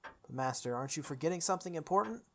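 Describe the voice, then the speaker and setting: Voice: mid-range voice, expressive, fast talker, a bit bright, slight background noise. A video game player voicing a sardonic male spirit archer servant, formal and teasing, from a visual novel. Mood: sarcastic.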